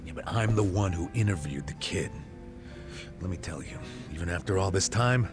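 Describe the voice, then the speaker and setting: An actor playing a blunt, world-weary older ex-cop with a soft heart, in an American accent and a gravelly voice, in a video game dub. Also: male voice, deep voice, expressive, moderate pace, music in the background. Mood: regretful, weary. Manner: blunt, casual, salty-tongued. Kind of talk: English video game dub acting, conversational.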